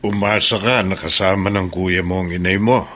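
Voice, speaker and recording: A man's voice: low-pitched, steady tone, moderate pace, fairly bright tone, soft background music. A man playing a kindly, consoling older mentor in prison in a Filipino radio drama. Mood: consoling, wise.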